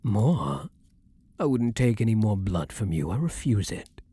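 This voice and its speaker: A man's voice: on the low side, big pitch swings, unhurried, dark tone, husky, no room sound, full character voice. A man playing a vampire king, an ardent, protective lover with courtly, formal phrasing, in an intimate ASMR roleplay. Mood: firm restraint.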